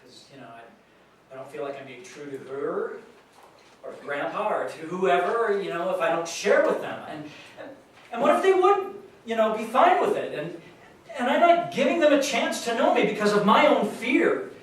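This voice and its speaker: A man playing an earnest, anxious grandson in a staged reading, speaking haltingly and confessionally. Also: high-pitched, very animated. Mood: hurt, then anguished. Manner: halting, repeats himself. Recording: very echoey hall, distant mic, noisy.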